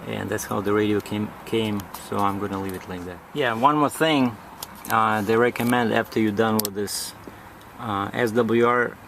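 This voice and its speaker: Low-voiced, gravelly man giving halting instructions. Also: steady tone. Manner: halting, improvised, thinking aloud. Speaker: demonstrator giving setup instructions. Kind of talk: informal hands-on tutorial. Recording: clearly noisy amateur recording.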